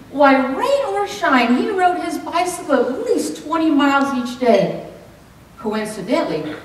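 A middle-aged woman performing a comedic tall tale on stage, with theatrical, deliberate delivery and dramatic pauses. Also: fairly high pitch. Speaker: speech contest contestant. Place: hotel banquet room, indoors. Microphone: far camera microphone. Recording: echoey room from far off, some noise.

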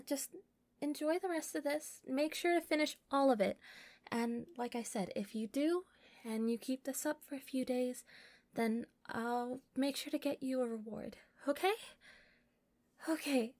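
Woman acting a shy, slow-speaking, doting yandere girl. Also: sits high, dry recording. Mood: shy, flustered. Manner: soft, breathy, often whispering, giggly, stammering when flustered. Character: shy, doting yandere young woman, flustered, possessive captor. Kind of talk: intimate roleplay audio acting.

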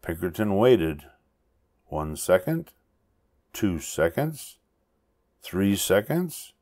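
An older man reading a children's story aloud with expressive narration. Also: deep voice, drawn-out words. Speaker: storybook reader.

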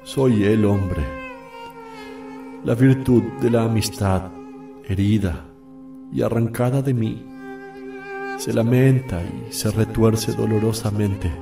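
An actor playing an anguished, solemn man praying sorrowfully to his divine Father in a radio drama, with long pauses. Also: lowish voice, creaky. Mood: sorrowful, pleading. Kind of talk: radio drama acting, slow prayerful monologue. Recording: distant, roomy, with loud music behind.

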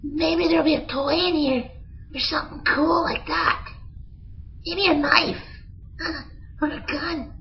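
A woman voicing an excitable, childish young male monkey in an old-time radio parody, talking in an impulsive, whiny way. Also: sits high, measured pace, gravelly, long pauses, slight background noise. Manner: impulsive, whiny, easily distracted. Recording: muffled lo-fi audio with music.

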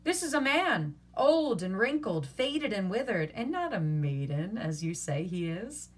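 A woman's voice: mid-range voice, sing-song, measured pace, slightly rough. A woman voicing a brash, merry young husband in a storybook reading, teasing and commanding in a theatrical, exclamatory way. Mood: teasing, bold.

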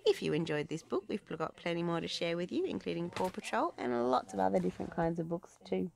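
Low-pitched woman reading a storybook. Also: expressive, fairly quick, creaky, a little mellow, slight background noise. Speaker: children's book narrator. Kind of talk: storybook read-aloud narration.

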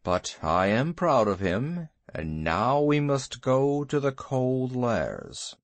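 A man voicing Bagheera, a proud male panther, speaking gravely in old-fashioned formal language. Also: mid-range voice, huge pitch swings, slow, no room sound, heavily acted.

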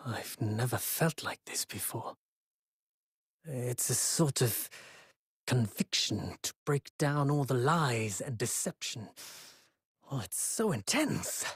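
An actor playing an earnest, intense young lawyer in a video game, halting and heartfelt. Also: male voice, mid-range voice, husky, dry recording, studio quality.

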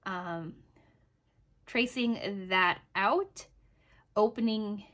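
Slightly hoarse woman chatting through a review. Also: mid-range voice, extremely slow, fairly bright tone. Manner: hesitant, chatty, trailing off and checking with "right?". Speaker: sewing pattern reviewer. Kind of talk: casual review narration over project photos.

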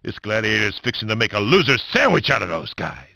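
An actor voicing a bombastic, wisecracking, gleefully violent sports announcer in a video game, with a gravelly, raspy voice and hammy delivery. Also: male voice, lowish voice, sing-song, brisk, pushed and bright, no room sound, heavily acted. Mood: wry, gloating. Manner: hammy, wisecracking, often bellowing. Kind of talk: over-the-top sports commentary for a video game.

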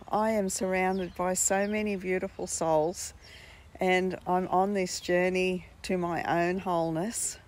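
A woman in her sixties with a husky voice, chatting slowly and warmly in a casual live stream from a sunny park, speaking into a phone held at arm's length. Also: lowish voice. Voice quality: husky today. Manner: slow, heartfelt, gently upbeat. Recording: faint outdoor noise with birds.

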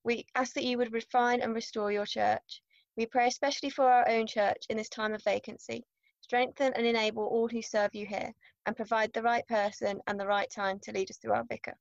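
A young woman in her twenties reads a prayer in a fairly high voice with clear vocal fry. The audio is dull and very dry. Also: flat delivery, fairly quick, dry recording. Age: 20s. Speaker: church member leading prayer. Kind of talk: read-out intercession. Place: plain room indoors.

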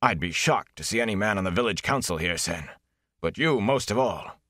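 A man voicing a calm, stern village mayor who speaks softly and rumbles. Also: normal speed, no echo, studio quality. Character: calm, imposing male village mayor and innkeeper.

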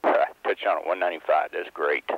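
A man's voice: sits low, fairly even intonation, quick pace, creaky. A man in a spacecraft cockpit calling out altitudes and speeds in clipped, matter-of-fact callouts over a headset intercom. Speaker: shuttle crewmember calling out landing data. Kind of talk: terse flight callouts. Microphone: crew headset intercom. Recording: dull archival cockpit audio, faint hiss.

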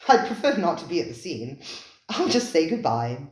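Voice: medium pitch, very animated, roomy sound, natural voice. A reader voicing a tactful male companion who bows out with light banter. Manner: quick, bantering.

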